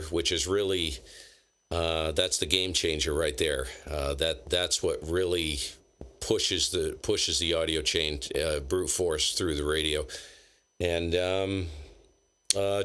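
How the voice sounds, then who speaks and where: A man's voice: sits low, a bit bright, smooth. A man hosting a ham radio chat, talking casually and loosely about his gear in a friendly way. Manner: chatty, quick, loose and friendly. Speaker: ham radio operator, channel host.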